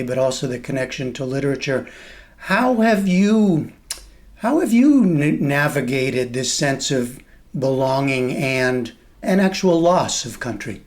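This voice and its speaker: A man's voice: medium pitch, darkish tone. A man interviewing a guest over a remote call, asking probing questions in a hesitant, searching way with frequent restarts. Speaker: interviewer, journalist. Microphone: remote video call.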